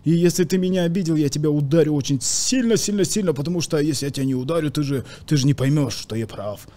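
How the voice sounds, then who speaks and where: A young man, a comedian guest from Kazakhstan, talking in a podcast studio on a studio mic, animated, with loud bursts and quiet asides. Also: fairly high pitch, quick pace. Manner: animated storytelling with hand gestures, swings between loud bursts and quiet asides. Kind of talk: casual podcast chat. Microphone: studio mic with headphones.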